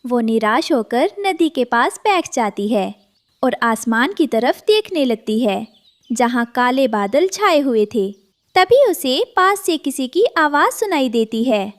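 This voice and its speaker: A woman's voice: sits high, sing-song, unhurried, dry recording, clean studio sound. A woman narrating a scripted children's story as the storyteller.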